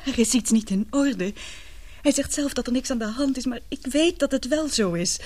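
A young woman playing an anguished daughter in love in a radio drama, confiding in halting, earnest, emotional speech. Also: sits high, expressive, darkish tone. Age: young adult.

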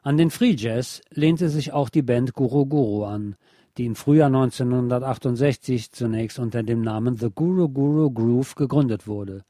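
A man narrates a radio feature with a soft, dark tone and medium pitch. His delivery is measured and factual, reading polished script in a very dry studio sound. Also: no echo.

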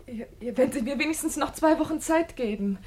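A woman's voice: sits high, animated, brisk, darkish tone. An actress playing a reserved, polite young woman in a German radio play, speaking hesitantly. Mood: reluctant, subdued.

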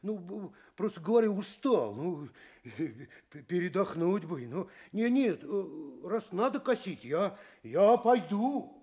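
An actor playing a hapless, weary poor farmhand in a fairy-tale audio play, stammering, sighing and plaintive. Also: male voice, sits high, sing-song, slow, warm tone, breathy edge, heavily acted. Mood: flustered.

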